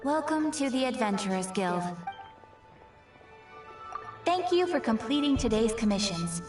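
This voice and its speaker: A courteous female guild receptionist from a video game, formal and welcoming. Her voice is a little high and sounds echoey, with music clearly playing behind it. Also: sing-song, measured pace, a little mellow. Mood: polite welcome. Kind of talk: scripted video game dialogue. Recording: echoey, distant game audio under music.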